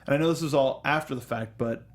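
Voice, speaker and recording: Male panel host, mid-pitched, chatty and enthusiastic, thinks out loud with frequent false starts. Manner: halting, often restarts sentences mid-thought; enthusiastic fan talk. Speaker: host of a film review show. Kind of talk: casual panel discussion of films and games.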